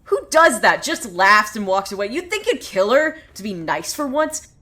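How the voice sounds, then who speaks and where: A woman's voice: medium pitch, very animated, moderate pace, bright, forceful tone. A woman playing a sardonic, opinionated storyteller in a fiction podcast, narrating conversationally with gossipy asides. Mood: exasperated, indignant. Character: opinionated, sardonic female storyteller who gossips about townsfolk. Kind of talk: dramatic first-person storytelling.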